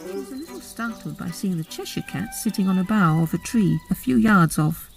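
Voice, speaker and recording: Animated woman storyteller. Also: medium pitch, a little fast, gravelly, music in the background. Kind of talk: expressive storybook narration.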